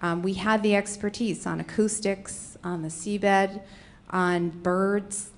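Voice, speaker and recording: A woman's voice: mid-range voice, steady tone, takes it slowly. A middle-aged woman from Rhode Island, speaking with a Rhode Island accent, gives a conference presentation and explains her slides one by one. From Rhode Island, USA. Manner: explanatory, walking through slides. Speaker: conference panelist.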